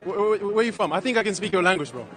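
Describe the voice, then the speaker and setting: A man doing casual street interviews, firing quick questions, switching languages and echoing the answers, outdoors with people around. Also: sits high, expressive. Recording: busy outdoor crowd around the voice.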